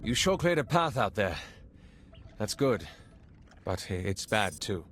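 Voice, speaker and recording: An actor playing an earnest, humble field agent in a video game, giving practical, plain-spoken briefings. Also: male voice, on the low side, very animated, fairly bright tone, a little hiss or hum. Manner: plain-spoken, briskly informative. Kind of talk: video game cutscene acting.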